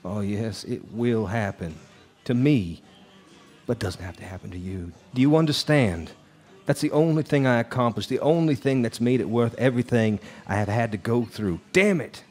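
An actor playing a haunted, world-weary bar singer, brooding and halting, in a live radio drama. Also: male voice, mid-range voice, a little mellow, natural voice. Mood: anguished.

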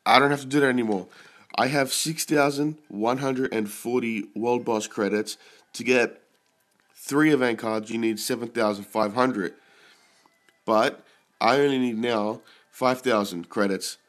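Slow-talking sick young man, gameplay commentary. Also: mid-range voice. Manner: excited, swears at big pulls. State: sick, throat-clearing. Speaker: mobile game YouTuber.

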